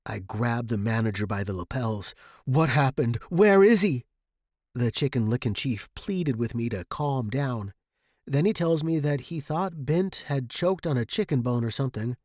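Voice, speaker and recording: A man's voice: medium pitch, darkish tone, dry recording, clean studio sound, close to an everyday voice. A man playing an earnest, self-assured saxophonist in a theatrical, emphatic first-person story monologue. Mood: agitated. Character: earnest, self-assured male saxophonist recalling his mentor. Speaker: story narrator.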